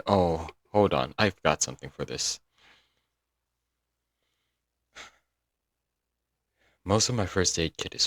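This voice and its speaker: A man's voice: sits low, a bit bright, no echo, studio quality. A man playing a doting, apologetic young yandere kidnapper in a comedic roleplay audio drama, coaxing and rambling intimately to the listener. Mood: worried, tender. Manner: coaxing, rambling, pet names, nervous chuckles.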